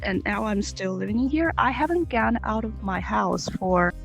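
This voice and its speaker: Young woman from China, gravelly fry in her voice, explanatory; faint music behind. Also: medium pitch, expressive, moderate pace. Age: 20s. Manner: explains step by step, with fillers. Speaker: guest. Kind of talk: casual discussion.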